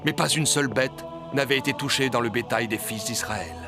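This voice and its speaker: A man's voice: medium pitch, fairly quick. A man giving scripted narration of a story as narrator. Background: music, outdoor ambience effects.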